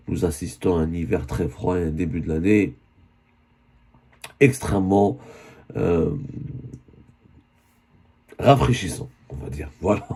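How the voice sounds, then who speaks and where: A man with a voice hoarse from a cold, giving an earnest spoken news commentary and often correcting himself. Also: low voice, dark tone. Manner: earnest, with many self-corrections.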